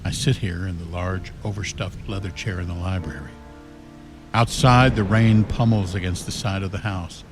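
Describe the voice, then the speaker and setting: An actor playing a grieving, uneasy man who narrates a radio ghost story in an ominous, halting way. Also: deep voice, fairly even intonation, over music, natural voice. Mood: ominous grief. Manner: slow, ominous, halting with dread. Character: grieving, uneasy man reading a dead friend's diary. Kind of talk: radio horror drama narration.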